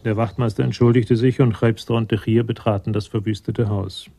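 A man's voice: lowish voice, level tone, a little fast, creaky, mellow, breathy edge. A man narrating a German radio play in a sober, composed literary style.

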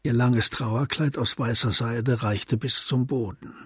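An actor as the narrator of a radio crime drama, reading literary description in a measured, composed manner. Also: male voice, medium pitch, steady tone, husky, dry recording, studio quality. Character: composed literary storyteller of a detective tale.

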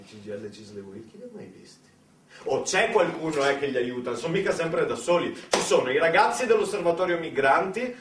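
A man delivering a conversational theatrical monologue in speech, with dramatic pauses and asides to the listener. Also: mid-range voice. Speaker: solo stage storyteller. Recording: roomy, distant mic, faint noise.

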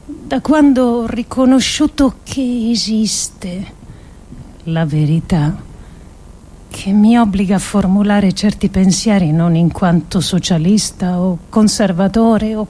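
An actress playing an earnest, resolute woman politician who delivers a solemn theatrical speech with measured, emphatic phrasing. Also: medium pitch, dark tone. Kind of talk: theatrical monologue, solemn oratory.

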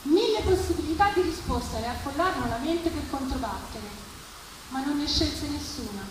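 A woman giving an expressive, theatrical reading of literary prose at a live event. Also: high voice, normal speed. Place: live public reading at a festival, distant mic. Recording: echoey, distant, noisy live event recording.

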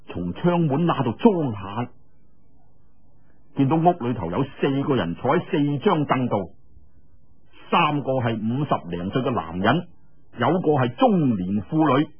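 A man's voice: medium pitch, slightly rough, dull audio. A man telling a martial arts tale in Cantonese, in a colloquial, theatrical storytelling style. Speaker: audiobook storyteller. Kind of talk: dramatic oral storytelling of martial arts fiction.

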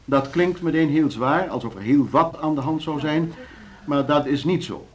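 A man's voice: a little high, moderate pace, a little hiss or hum, lightly acted. An actor playing a pompous, formal male board chairman giving an evasive, oratorical address in a radio play. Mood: formal, placating. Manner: pompous, oratorical, self-repeating.

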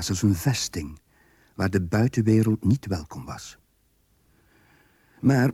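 An actor narrating a radio drama as a measured, literary storyteller. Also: male voice, lowish voice, mellow, breathy edge, no echo, clean studio sound.

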